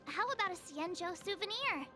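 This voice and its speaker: Bubbly anime game girl, super high voice, really expressive, curious and a bit naive. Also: moderate pace, soft background music, heavily acted. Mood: puzzled. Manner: expressive, girlish, exclamatory. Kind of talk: scripted anime-style video game dialogue.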